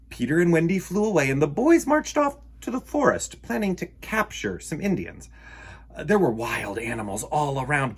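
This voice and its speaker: A man telling a children's story in an animated, theatrical way, acting out the sound words. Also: mid-range voice, husky.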